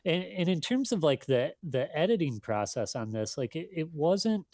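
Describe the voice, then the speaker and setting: A man speaking casually as an editor being interviewed, in a thoughtful, halting, self-deprecating way. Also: fairly high pitch, big pitch swings, darkish tone, no echo, clean studio sound. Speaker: magazine editor, interview guest.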